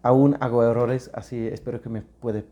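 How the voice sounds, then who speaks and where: Man, English accent, native English speaker, halting Spanish, casual podcast chat, mid pitch. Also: level tone, warm tone, lo-fi sound. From England. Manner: halting learner's Spanish, slipping into English words. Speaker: podcast co-host.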